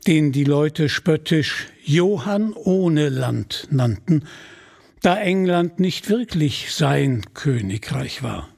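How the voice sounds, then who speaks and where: A man narrating a scripted radio play in a measured, deliberate storytelling manner, with a husky voice. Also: a little high.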